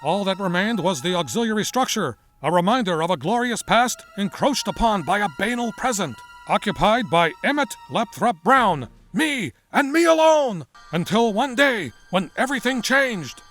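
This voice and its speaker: A man voicing an eccentric, excitable middle-aged inventor in a motion comic, theatrical, wordy and forever digressing. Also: sits high, normal speed, soft background music. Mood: grandiose, rambling. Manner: verbose, digressive, grandiose.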